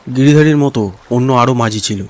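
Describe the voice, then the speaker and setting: Fast-paced male cartoon story narrator. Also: medium pitch, a little hiss or hum.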